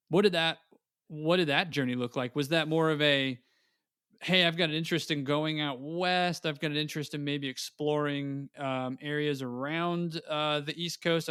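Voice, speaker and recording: A man's voice: fairly high pitch, a bit bright, clean tone, no room sound, studio quality. Eager man in his thirties hosting. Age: 30s. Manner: eager, affirming, chatty. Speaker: podcast host. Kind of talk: casual interview chat.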